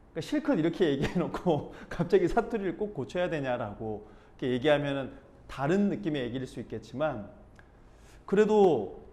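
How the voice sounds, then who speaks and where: A man from Busan giving an informal class talk as a speech and expression instructor, animated and slipping into acted-out dialect examples. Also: a little high. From Busan, South Korea.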